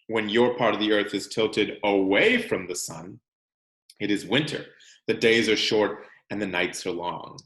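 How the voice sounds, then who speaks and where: A man reading a children's science book aloud as a teacher, expressive and explanatory, speaking directly to young students. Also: on the low side, a little slow, echoey room.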